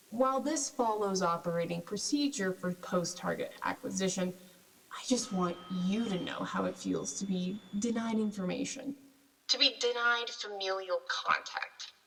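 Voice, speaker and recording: A woman's voice: mid-range voice, pushed and bright. A woman playing a resentful, ambitious agent sending formal, clipped reports home in a science fiction radio drama. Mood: hurt, bitter. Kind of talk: sci-fi radio drama monologue. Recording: distant, long reverberant hall.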